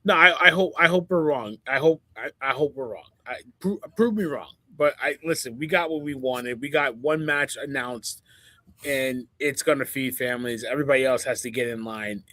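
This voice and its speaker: A man's voice: medium pitch, normal speed, sharp tone, dry recording. A man in his forties co-hosting a casual wrestling podcast, chatting emphatically and repeating phrases to drive them home. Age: 40s. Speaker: podcast co-host. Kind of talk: casual sports-entertainment chat.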